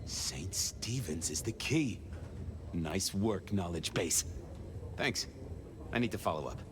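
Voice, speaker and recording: A wry video game detective with a lowish voice. He probes in a cool, casually polite way, over clearly audible ambient noise. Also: animated, takes it slowly. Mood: businesslike. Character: smooth-talking male detective, wry, probing and casually polite.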